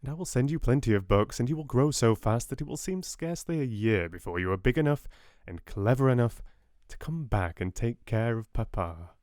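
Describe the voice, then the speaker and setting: A man voicing a gentle, doting young father in an audiobook, speaking softly and tenderly to reassure a child. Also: mid-range voice, expressive, a little fast, hardly any pauses, no echo, clean studio sound, lightly acted. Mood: reassuring. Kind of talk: audiobook character voice.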